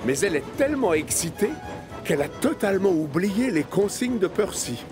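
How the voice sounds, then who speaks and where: A man's voice: high voice, sing-song, moderate pace. A man narrating a children's cartoon from a script as its storyteller. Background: background music.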